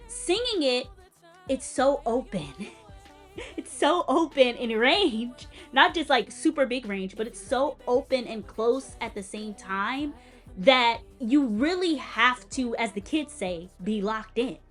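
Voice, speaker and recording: Animated, light-voiced woman music teacher. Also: a little high, slow. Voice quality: light-sounding voice. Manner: animated and emphatic, stressing words and interjecting; direct, teacherly advice to the viewer. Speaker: music educator and vocalist. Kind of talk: casual commentary and performance critique.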